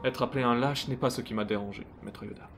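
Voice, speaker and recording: A man playing a composed, principled Jedi knight and mentor in a comic dub, measured and solemn. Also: medium pitch, steady tone, a little hiss or hum, natural voice. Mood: troubled, reflective. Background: loud music.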